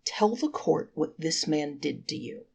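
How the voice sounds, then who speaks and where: A woman reads as a male angel prosecutor in a storybook court. The delivery is theatrical and declamatory, indignant and triumphant, with a low, gravelly edge. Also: animated, measured pace, a little mellow, a little hiss or hum, exaggerated voice. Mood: stern.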